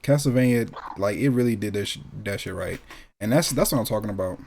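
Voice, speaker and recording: A man's voice: on the low side, fast talker, creaky, darkish tone. A man hosting a gaming talk show, chatting casually in an emphatic, opinionated, slangy way with plenty of swearing. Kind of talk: casual chat about video game movies and anime.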